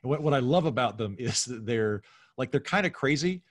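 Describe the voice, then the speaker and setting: A man's voice: mid-range voice, lively intonation, a little fast, dry recording, studio quality. A middle-aged man speaking casually as a podcast guest, in a discursive, analytical way. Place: small furnished room at home, video call.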